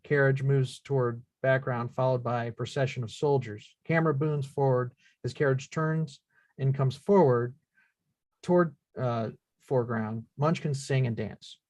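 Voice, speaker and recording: A man reads stage directions from a screenplay in a plain, factual way, with the odd small stumble. His pitch sits in the middle and the sound is dry and close. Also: steady tone, a little slow, darkish tone, no echo. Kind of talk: scripted narration of screenplay action at a live table read.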